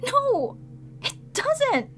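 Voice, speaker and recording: A woman's voice: extremely high pitch, very animated, drawn-out words, faint music behind, exaggerated voice. A woman voicing a sweet, airheaded young researcher who begs desperately. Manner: desperate, pleading, breathless.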